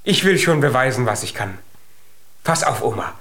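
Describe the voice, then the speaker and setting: A man's voice: mid-range voice, very animated, moderate pace, fairly bright tone. An actor playing a tiny, clever, stubborn young giant boy in a children's radio play, eager and insistent. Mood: determined.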